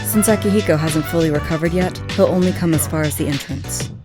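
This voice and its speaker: A woman playing a composed, commanding student leader in a fan audio drama, formal and measured. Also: low-pitched, music in the background, close to an everyday voice.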